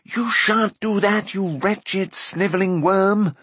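A man's voice: fairly high pitch, big pitch swings, measured pace, a bit bright, breathy edge, dry recording, voice pushed up, heavily acted. A man playing a flamboyant, scornful bard in a fantasy audiobook, blustering in wordy theatrical phrases. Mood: indignant fury. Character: indignant flamboyant male bard, wordy and dramatic.

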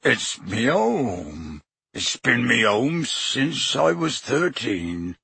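A man voicing a huge, gruff male gamekeeper who is crying, with a croaky rough dialect. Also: mid-range voice, very animated, extremely slow, fairly bright tone, no echo, exaggerated voice. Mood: grieving.

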